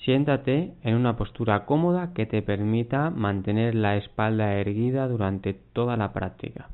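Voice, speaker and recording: A man guiding a mindfulness body scan, giving unhurried instructions in short phrases with long pauses. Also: on the low side. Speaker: meditation guide. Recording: muffled, phone-like narrow band.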